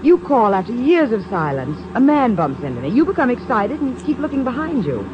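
A woman acting a sharp-witted, direct, suspicious young society woman in a 1950s radio drama. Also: on the high side, very animated, fairly quick. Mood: wary, probing. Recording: muffled, noisy 1950s radio recording.

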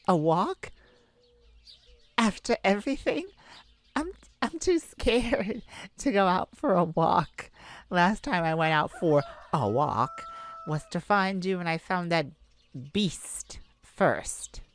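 A woman's voice: mid-range voice, animated, slow, dry recording. A woman playing a kind, anxious mage in an ASMR audio roleplay, talking hesitantly and intimately to a childhood friend. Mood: startled, teasing. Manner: hesitant, stammering, soft-hearted worrier.

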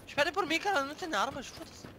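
Young guy gaming w his mates on headset, talks fast, voice jumps all over. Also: extremely high pitch, lively intonation. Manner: swings from mumbled asides to loud outbursts. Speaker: gamer filming his squad. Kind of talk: casual chat while gaming.

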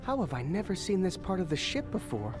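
An actor voicing a restless teenage boy held on a spaceship, with deadpan, sarcastic asides, recorded as game audio over music. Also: sits high, fairly quick. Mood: wry. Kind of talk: video game voice acting.

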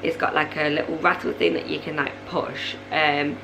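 A young woman in her twenties, a mother of three, chatting casually to camera in her living room with a hoarse, failing voice and lots of fillers. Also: deep voice, level tone, normal speed, over music. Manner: chatty, rambling, many fillers. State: hoarse, voice going. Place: living room at night, small indoor room.

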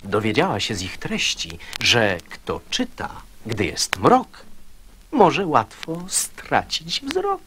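A man tells children's verse in a middle-pitched, very animated voice. He is slow and expressive, with faint noise behind. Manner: expressive storytelling. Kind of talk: dramatic recitation of children's verse.

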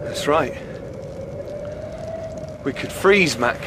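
A man's voice: lively intonation, drawn-out words. An actor playing a bitter, suspicious male survivor in a radio drama, speaking in short clipped phrases. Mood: bitter, accusing. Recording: clear rumbling noise behind.

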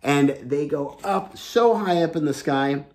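A man's voice: fairly high pitch, measured pace. A man in his thirties reading a children's book aloud, explaining it simply and enthusiastically in a room at home. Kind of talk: animated read-aloud storytelling with explanations. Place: small home room indoors.

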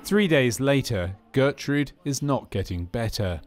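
A man narrating a medical training film from a script, in a measured, clinical and factual way. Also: mid-range voice, expressive, a crowd in the background. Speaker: documentary narrator.

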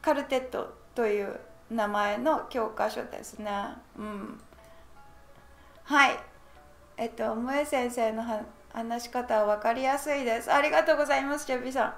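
A high-pitched woman with a Japanese accent teaches a live online language class in an echoey room. She is a bubbly native Japanese speaker who chats with her viewers as she goes. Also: steady tone, quick pace, faint music behind. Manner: bubbly, giggly, switches between languages. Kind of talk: live-streamed lesson with chat greetings.

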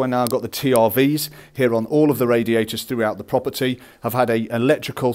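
A man in his forties from the UK, chatting through practical tips as he walks around an empty rental house, speaking into a wired earphone mic. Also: medium pitch. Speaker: landlord vlogger. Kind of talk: casual walkthrough vlog. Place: empty house and parked car.

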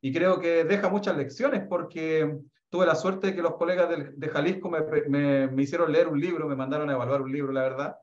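A man's voice: fairly high pitch, fairly quick. Chatty Chilean man on an echoey call. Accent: Chilean Spanish. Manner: animated, chatty, many restarts and fillers. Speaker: researcher. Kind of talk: casual panel comments on a video call. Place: office with bookshelves. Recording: roomy, dull video call audio.

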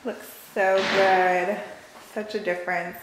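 A woman's voice: mid-range voice, flat delivery, slow pace. A woman in her thirties chatting in an upbeat, casual way as she narrates a home renovation tour. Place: empty house rooms under renovation, indoors. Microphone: handheld camera held by speaker. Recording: echoey empty rooms, clear background noise.

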